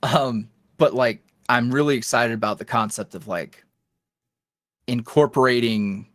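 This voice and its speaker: A man's voice: mid-range voice, measured pace, dry recording, studio quality. A man talking casually as a podcast guest, rambling and self-deprecating, with lots of fillers. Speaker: podcast guest, ASMR roleplay creator. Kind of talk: casual interview chat.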